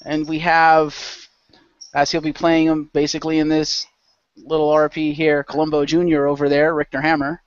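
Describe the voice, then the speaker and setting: A man's voice: a little high, monotone, normal speed, smooth, dry recording. A man hosting and narrating a role-play show as game master in a loose, informal way. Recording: dull lo-fi close microphone.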